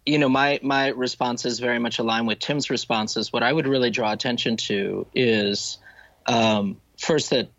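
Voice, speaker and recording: A man's voice: mid-range voice, steady tone, normal speed, fairly bright tone, dry recording. Man, dry and slightly muffled sound. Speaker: podcast guest, novelist and critic. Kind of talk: analytical literary discussion. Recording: dull, dry close audio.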